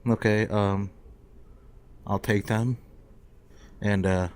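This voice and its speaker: A man's voice: fairly low pitch, steady tone, slow pace, clean tone. A man playing a role-playing game, casually stating his actions and hesitantly thinking aloud.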